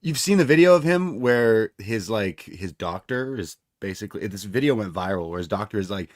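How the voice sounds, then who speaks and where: A man with a low voice co-hosts a podcast in loose, casual chat. He rambles a bit and trails off mid-thought, and the sound is close and dry. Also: lively intonation, fairly quick, dry recording. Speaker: podcast co-host.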